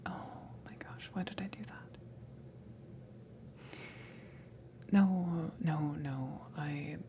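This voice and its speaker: A woman playing a flustered, self-conscious alien crewmember in an ASMR roleplay, breathy and stammering, smitten with the listener. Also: deep voice, warm tone, slight background noise. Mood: self-conscious, agitated. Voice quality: breathy, creaky, often whispered. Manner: hesitant, stammering, slow, awkward.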